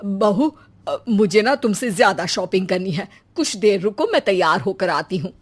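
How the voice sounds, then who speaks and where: A woman's voice: mid-range voice, expressive, normal speed, husky. A woman voicing a vain, dramatic middle-aged mother-in-law in a story, self-absorbed and melodramatic. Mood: breezy.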